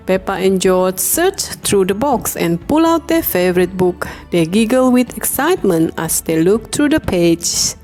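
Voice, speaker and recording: A woman reading a children's bedtime story aloud in an animated, expressive way. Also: medium pitch, unhurried, hardly any pauses, soft background music. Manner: animated, acts out the dialogue. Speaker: storybook reader.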